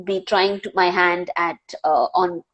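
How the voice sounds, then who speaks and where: Young Indian woman, low voice, many fillers. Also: fairly even intonation, a little slow, lo-fi sound. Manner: earnest, many "uh" fillers and restarts. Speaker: wildlife filmmaker guest. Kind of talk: live online Q&A interview. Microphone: video call.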